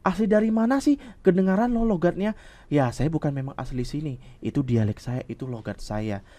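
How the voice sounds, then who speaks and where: A man's voice: on the high side, fairly quick, no room sound. A man from the Riau Islands with a Malay-tinged Sumatran accent, a communication coach explaining things animatedly in a podcast interview. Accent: Malay-tinged Sumatran Indonesian. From Riau Islands, Indonesia. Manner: explanatory, animated, acts out quoted speech.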